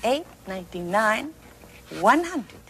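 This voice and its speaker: A woman's voice: on the high side, very animated, moderate pace, fairly bright tone, slightly rough, heavily acted, poor audio. A woman playing a doting, possessive witch mother in a fairy tale drama, soothing and coaxing in singsong.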